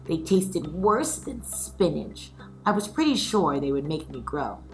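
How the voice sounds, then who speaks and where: A woman teacher addressing young pupils in a read-aloud lesson, instructive, asking questions with pauses and reading stories expressively. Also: low-pitched, very animated, faint music behind.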